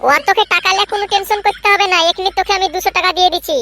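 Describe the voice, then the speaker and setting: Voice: very high voice, fairly even intonation, rapid-fire, bright, forceful tone, a little hiss or hum. A performer voicing Liltu, a boastful, sly and bossy village boy in a comedy cartoon. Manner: bragging, bossy, wheedling.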